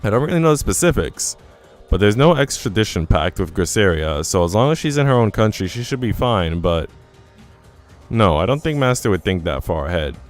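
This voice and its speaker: A man's voice: fairly low pitch, big pitch swings, brisk, faint music behind. A man reading a visual novel aloud as a let's player, acting out narration and dialogue and dropping in his own asides. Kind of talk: expressive read-aloud of story narration and dialogue.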